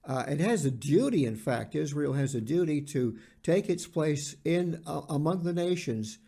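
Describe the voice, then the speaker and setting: A man co-hosting a podcast, talking in an earnest, halting way and repeating words for emphasis. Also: on the high side, expressive, vocal fry, warm tone, slightly rough. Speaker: podcast co-host. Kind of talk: conversational podcast discussion.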